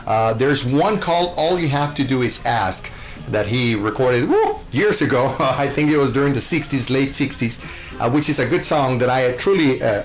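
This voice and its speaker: Man from costa rica, excited, gushing, stumbling restarts, faint music behind. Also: medium pitch. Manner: gushing and enthusiastic, many fillers and restarts. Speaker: show host being interviewed. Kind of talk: excited interview answers.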